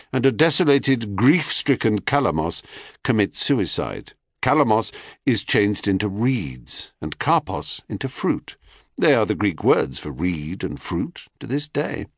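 A man reading a scripted myth narration in an expressive, theatrical way, with wry, dry asides. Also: on the low side, no echo. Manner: wry, dryly witty asides. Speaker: audiobook narrator.

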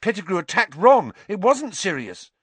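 An earnest young schoolgirl, voiced by a male narrator, pleads in a high, urgent rush. Her pitch jumps far above his own and bounces around, dry and close. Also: expressive, moderate pace, bright, forceful tone, no room sound, full character voice.

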